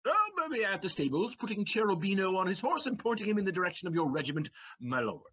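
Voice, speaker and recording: A man playing a quick-witted, cheeky manservant in a comic period play, theatrical and given to stammering evasions, on a slightly dull recording. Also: high voice, sing-song, fairly bright tone, slight background noise. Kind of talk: comic period stage acting.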